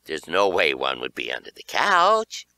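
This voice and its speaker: Guy doing a skeptical boy in a kids horror audiobook, bossy, swingy pitch, forceful. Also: huge pitch swings, measured pace, no room sound, full character voice. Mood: dismissive. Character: young boy hero, confused and skeptical, bossy with friend.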